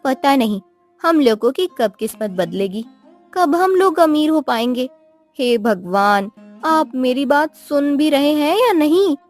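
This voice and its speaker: A woman's voice: high-pitched, animated, a little slow, soft background music. A woman voicing a poor, wistful village wife who nags and pleads in a cartoon story. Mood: wistful, unhappy. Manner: plaintive, pleading. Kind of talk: Hindi cartoon moral story voice acting.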